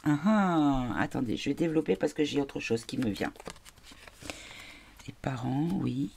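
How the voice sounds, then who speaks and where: A woman giving a casual card reading, tired and congested from a cold, halting as she searches for her words. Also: rumbling low, lively intonation, vocal fry, darkish tone, slight background noise. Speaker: card reader.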